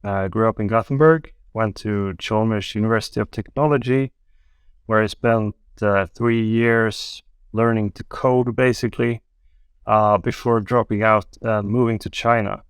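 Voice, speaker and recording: Low-voiced man, smooth and dry. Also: unhurried, no echo. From Sweden. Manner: relaxed, wry storytelling with many ums. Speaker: guest, angel investor. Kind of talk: casual podcast interview.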